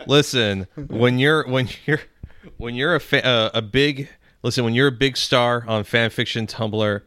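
A man's voice: mid-range voice, a little slow, fairly bright tone, no echo, clean studio sound. A man co-hosting a podcast, chatting casually in rambling, joking riffs. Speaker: podcast co-host.

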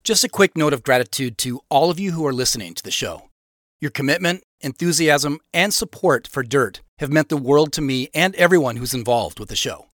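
A man's voice: on the high side, expressive, fairly quick, fairly bright tone, no echo, studio quality. A man giving a scripted announcement to listeners as host of an audio drama.

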